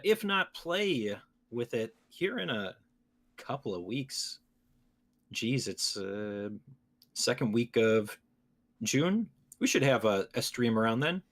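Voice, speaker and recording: A man hosting a gaming podcast, talking casually and conversationally with his co-hosts. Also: medium pitch. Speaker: podcast host.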